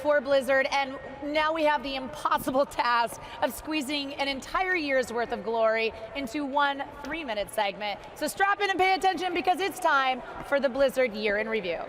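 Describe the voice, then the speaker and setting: High-voiced excited female co-host. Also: normal speed, hardly any pauses. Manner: excited, friendly. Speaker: event broadcast host. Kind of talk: chatty live co-hosting. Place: live set in a convention center. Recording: faint convention floor noise.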